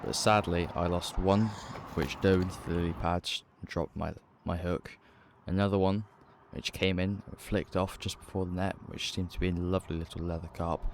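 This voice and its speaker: A man's voice: low-pitched, flat delivery, normal speed, few pauses, a little hiss or hum. A man with a British accent talking casually as an angler making a fishing vlog. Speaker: angler and vlogger.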